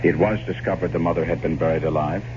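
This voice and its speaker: An actor playing an earnest, rational houseguest drawn into dread in a radio horror drama, speaking gravely and insistently. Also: male voice, low voice, steady tone, fast, lightly acted. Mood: brooding, insistent. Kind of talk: old-time radio horror drama acting. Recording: noisy, muffled old radio drama recording.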